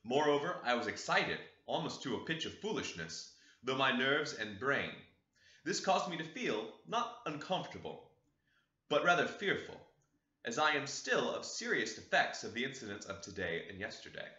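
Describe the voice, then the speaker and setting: A man reading a classic novel aloud as scripted narration, in an expressive storybook way. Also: medium pitch, normal speed. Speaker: audiobook reader. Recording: roomy, echoey, slightly dull sound.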